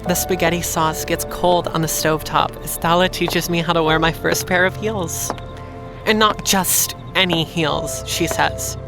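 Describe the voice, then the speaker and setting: A woman playing a wry, defiant working-class heroine, telling her own story deliberately in an audio drama. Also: on the low side, steady tone, over music. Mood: reflective, defiant. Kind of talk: first-person audio drama narration.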